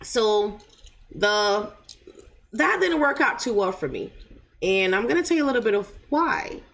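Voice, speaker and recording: A woman's voice: mid-range voice, big pitch swings, a little slow, fairly bright tone. A woman from Jamaica with a light Jamaican accent, telling viewers her own story in a chatty, wandering way and often checking in with "right?". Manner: chatty, digressive, keeps asking "right?". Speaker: channel host.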